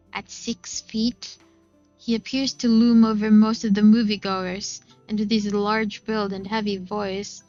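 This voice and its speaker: A woman narrates a visual novel in the first person. Her voice is medium in pitch and her delivery is that of dramatic storytelling. Music plays quietly underneath. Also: highs cut off. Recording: music behind the voice.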